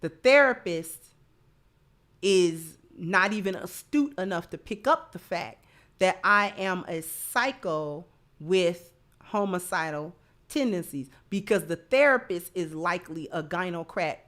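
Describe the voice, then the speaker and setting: An American woman delivers opinionated commentary at an unhurried, slow pace. She is emphatic, often restating a phrase to drive it home, in the manner of a host talking live to followers. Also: lowish voice, expressive, a bit bright. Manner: emphatic, repeats phrases for stress.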